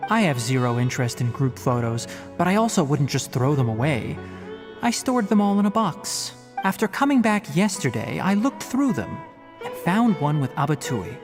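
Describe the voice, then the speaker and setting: A calm young forest ranger and scholar explains things in a dry, matter-of-fact way. His delivery stays measured, but the pitch rises and falls a lot. Game music plays clearly behind him. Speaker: forest watcher. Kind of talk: video game voice acting. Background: game background music.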